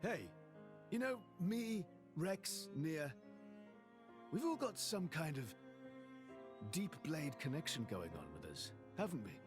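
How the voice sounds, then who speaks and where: Blunt young companion: very lively pitch swings, excitable. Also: male voice, takes it slowly, pauses often, over music. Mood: chatty. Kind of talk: video game cutscene acting.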